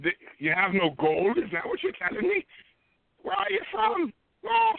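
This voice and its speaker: A man's voice: sits high, lively intonation, normal speed, dry recording. A man calling in with a put-on Irish accent, playing an insistent, confused old Irishman who wants his gold. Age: older. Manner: insistent, confused, calls others "lassie". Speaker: caller. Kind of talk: prank call. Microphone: telephone. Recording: tinny phone line, dry.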